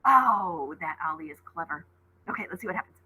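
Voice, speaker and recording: A woman's voice: fairly low pitch, expressive, a little fast, pushed and bright, a little hiss or hum, poor audio. A woman teacher reading a storybook aloud expressively and dramatically, with asides to her listeners.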